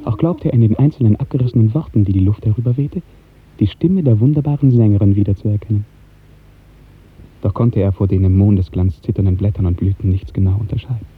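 A man with a lowish, very breathy and husky voice narrates a literary tale. His delivery is measured and poetic, in 1950s radio drama style. The old recording is muffled and narrow.

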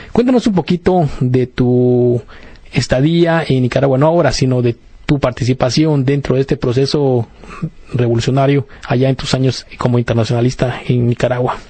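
A man's voice: medium pitch, dark tone, no echo. A man, presenting a radio interview as its host.

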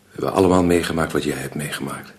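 An actor playing a calm, supportive discussion leader in a radio drama, speaking gently and reassuringly. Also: male voice, very deep voice, quick pace. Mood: gentle, encouraging.

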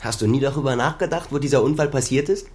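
A man's voice: mid-range voice, fast, darkish tone, lightly acted. A man acting in a naturalistic radio drama as a bitter adult son who cuts at his estranged father with reproach. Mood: pressing, accusing. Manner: accusing, reproachful, cutting.

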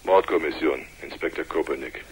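An actor playing a brusque, sarcastic homicide inspector over a telephone in a German radio crime drama, curt and commanding. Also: male voice, a bass voice, moderate pace, slight background noise, low-quality recording.

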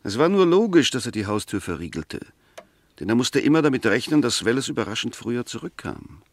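An actor playing an insistent, reasoning defense barrister in a 1960s German radio play, arguing rhetorically and emphatically. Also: male voice, medium pitch, big pitch swings, fairly quick. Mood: reasoned rebuttal.

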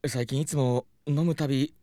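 A man's voice: mid-range voice, normal speed, dry recording, studio quality, natural voice. A man playing a polite, worry-prone young talent manager who gets whiny and flustered, in a comedic audio drama. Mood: apologetic, glum. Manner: polite, anxious, excitable.

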